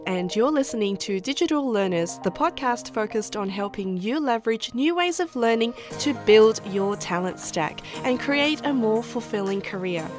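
A woman hosting a podcast, friendly and encouraging with her guests. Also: mid-range voice. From Australia. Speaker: podcast host. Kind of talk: podcast interview. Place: indoors, in a shared room with guests.

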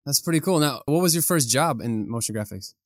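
A man's voice: mid-range voice, expressive, fairly quick, no room sound, studio quality. A man from Ukraine hosting a podcast, introducing his guest and asking interview questions in an upbeat way. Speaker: podcast host.

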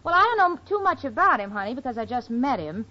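An actress playing a sensible, wry young single woman, confiding and animated, in a 1940s radio sitcom. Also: high-pitched, fast talker, full character voice. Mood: wry, smitten. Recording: dull old radio recording, studio audience.

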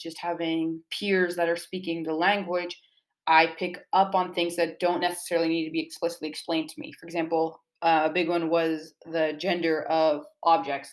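A woman's voice: on the low side, poor audio. A young woman giving informal, explanatory interview answers in a casual, earnest way, often dropping "like" into sentences. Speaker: student poet being interviewed about own poem.

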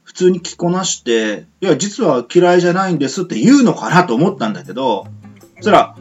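A man chatting casually as a radio host, telling things in an animated way and acting out reactions. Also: on the high side, brisk, slightly rough, lo-fi sound. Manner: animated storyteller, acts out imagined reactions. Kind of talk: casual chat. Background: background guitar music.

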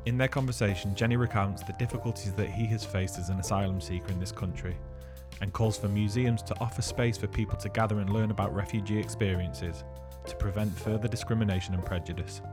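A man reading a scripted podcast introduction in a measured, prepared way. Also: lowish voice, fairly even intonation. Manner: smooth, measured read-through of a prepared intro. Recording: voice-over with music bed.

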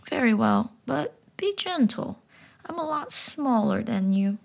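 A woman voicing a small, kind talking frog that speaks warmly and with amusement. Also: fairly high pitch, sing-song, a little slow, creaky, pitched above the performer's own voice, full character voice. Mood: gentle amused. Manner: warm, amused, whispery.

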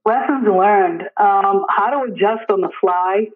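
A middle-aged man with a high-pitched voice speaks over a thin, phone-like line. He explains things informally and earnestly, like an emergency services supervisor in an interview, repeating phrases for emphasis. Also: a little slow, husky. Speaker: emergency dispatch deputy superintendent. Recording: tinny narrow phone or radio line.

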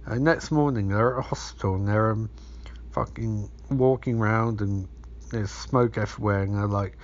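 A hoarse man with a gravelly voice, hosting a podcast and recapping a movie in sweary, rambling casual talk. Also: lowish voice, normal speed. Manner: sweary, rambling, acts out scenes. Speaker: podcast host. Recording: dull lo-fi recording.

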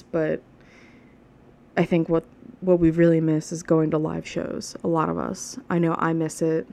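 Solo podcast host, woman, 30s; deep-set and monotone with vocal fry, chatty, frank and rambling. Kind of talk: casual solo podcast monologue. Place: alone at home.

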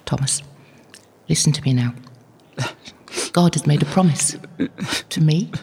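A woman plays a devout older recluse in an audio drama, low-voiced with a creaky edge. She speaks with measured, motherly calm and reassurance, in a reverberant hall. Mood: earnest, reassuring. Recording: reverberant hall, faint background noise.

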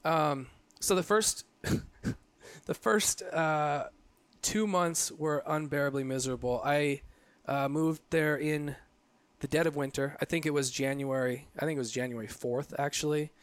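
A man's voice: on the high side, steady tone, measured pace, clear, resonant tone, no echo. Late-30s guy chatting about his work, kinda rambly, lots of ums, dry close sound. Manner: reflective, rambling with frequent fillers and restarts. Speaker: guest, photographer. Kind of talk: casual interview conversation.

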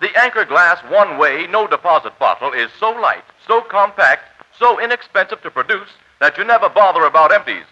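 A man's voice: high-pitched, lively intonation, a little fast, pushed and bright. A man announcing a commercial in polished 1940s radio style. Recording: muffled vintage radio recording.

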